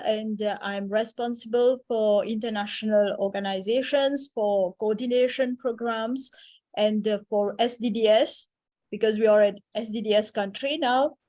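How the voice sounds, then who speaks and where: A woman introduces herself formally in an online meeting. She has a medium-pitched voice and sounds like a professional describing her duties. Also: a little mellow. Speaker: government statistician. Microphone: video call.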